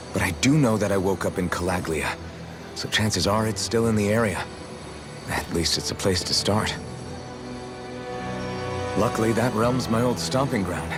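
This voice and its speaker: A man's voice: on the low side, natural voice. An actor playing a determined, plain-spoken young swordsman hero in a video game, with music behind him.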